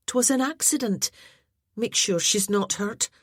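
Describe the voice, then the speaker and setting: A woman's voice: medium pitch, measured pace, breathy edge, no room sound, voice pushed up. Woman doing a dry-witted fierce lady. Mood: wry. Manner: dry, teasing and grave. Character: wry, fierce young lady of a laird.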